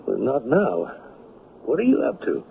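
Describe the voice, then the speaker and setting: Radio drama: older married man, mildly scolding, lively intonation, narrow old broadcast sound. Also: medium pitch, a little slow, creaky, full character voice. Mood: grumbling, curious. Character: grumbling, protective older husband on a cruise. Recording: thin, noisy vintage radio recording.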